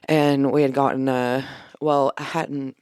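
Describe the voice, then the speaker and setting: Deep-voiced woman, smooth podcast chat. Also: little pitch movement, measured pace, darkish tone, dry recording. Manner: laid-back, chuckles often while telling stories. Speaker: podcast co-host. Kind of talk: casual chat. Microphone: studio podcast microphone.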